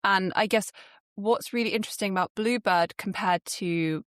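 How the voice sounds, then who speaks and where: A woman with a medium-pitched voice hosts a podcast interview. She talks conversationally as she frames questions and reflections. The recording is dry and close-miked. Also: moderate pace, fairly bright tone, dry recording. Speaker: podcast host.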